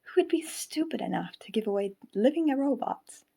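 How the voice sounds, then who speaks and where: A woman's voice: a little high, sing-song, moderate pace, gravelly, warm tone, slightly rough, exaggerated voice. A woman acting in an audio drama as a shrewd female robot companion, analytical and teasing. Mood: suspicious.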